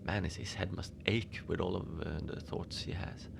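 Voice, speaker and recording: A man playing an easygoing, whimsical lone Antarctic station manager, rambling in a conversational, deadpan audio-fiction monologue. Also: low-pitched, moderate pace, gravelly, noisy background. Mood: mild, pondering. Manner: musing, conversational, deadpan about absurd things. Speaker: fiction podcast narrator, lone facility manager at a polar station. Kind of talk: first-person audio fiction monologue, rambling radio log.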